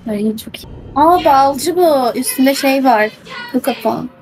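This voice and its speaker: A woman's voice: high-pitched, a little slow, rough recording. A woman giving casual commentary while playing a video game as a streamer. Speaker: gaming streamer.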